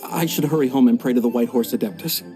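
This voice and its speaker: A man's voice: medium pitch, fast, warm tone, soft background music, close to an everyday voice. An actor playing a flustered, evasive male tea shopkeeper in a video game, stammering nervously. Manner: stammering, nervous filler-laden speech.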